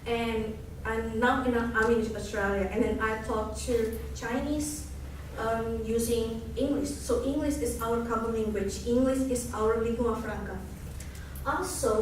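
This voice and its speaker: A young woman from Indonesia with an Indonesian accent, giving an earnest student research presentation and often restarting words. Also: on the high side. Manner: earnest, explanatory, frequent restarts. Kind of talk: academic presentation. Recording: echoey room from far off, noisy.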